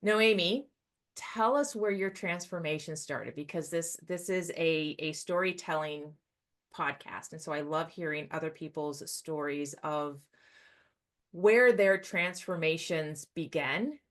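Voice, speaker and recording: A middle-aged woman with a notably low-pitched voice hosts a podcast. She speaks conversationally, putting questions to her guest and adding thoughts of her own. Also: a little slow, fairly bright tone. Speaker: podcast host. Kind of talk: conversational interviewing.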